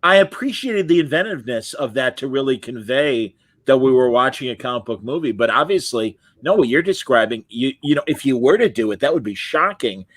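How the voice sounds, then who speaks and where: A man's voice: medium pitch, expressive, a little fast, dry recording. A man hosting an interview podcast, chatty and enthusiastic, often restarting his sentences. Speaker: podcast host. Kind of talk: casual interview conversation. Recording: dry close sound.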